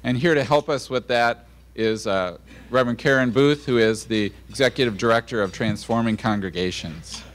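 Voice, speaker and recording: A middle-aged man introduces a speaker at an event through a lectern microphone. His pitch is average. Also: normal speed, a little hiss or hum. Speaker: event presenter.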